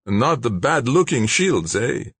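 A narrator puts on a menacing male gunman from a thriller audiobook. He is bossy and agitated, barking in a raised, husky voice with big swings of pitch. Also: sing-song, slow pace, fairly bright tone, no echo, exaggerated voice. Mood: leering.

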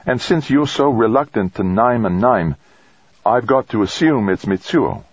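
A man's voice: mid-range voice, animated, unhurried, slightly rough, dry recording, muffled recording, voice pushed up. A man reading an audiobook, voicing a friendly, thoughtful woman colleague with a breezy, colloquial manner. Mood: probing. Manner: breezy and colloquial, calling people mate. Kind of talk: audiobook character dialogue.

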